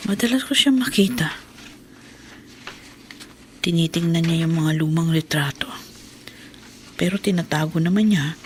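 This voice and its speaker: A woman with a Filipino accent playing a troubled, curious housewife in a radio drama, sighing and thinking aloud. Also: lowish voice. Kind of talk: Filipino radio drama acting, musing monologue. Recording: noisy radio drama track.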